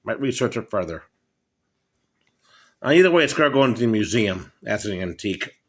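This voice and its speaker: A man's voice: fairly low pitch, big pitch swings, brisk, slight background noise. Plain-spoken middle-aged American man. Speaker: hobbyist presenter. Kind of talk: casual off-the-cuff product show-and-tell. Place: indoors at a wooden table in a home. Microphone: handheld phone, speaker behind camera.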